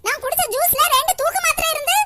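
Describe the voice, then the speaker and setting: A woman voicing a fierce old grandmother who shouts and scolds in a family drama. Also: very high voice, quick pace, no echo. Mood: furious scolding.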